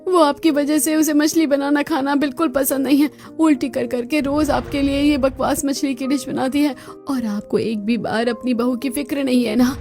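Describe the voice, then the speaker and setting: A woman's voice: high voice, steady tone, moderate pace, few pauses, a little mellow, soft background music. A woman voicing a scheming, fiercely protective middle-aged mother in a Hindi cartoon story, with a dramatic, scolding delivery. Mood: anguished, furious.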